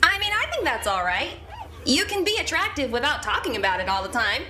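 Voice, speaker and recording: A woman's voice: sits high, big pitch swings, brisk, pushed and bright, a little hiss or hum, exaggerated voice. A woman role-playing a frank, encouraging female crewmate in a tabletop sci-fi game, blunt but reassuring. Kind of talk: improvised tabletop role-play.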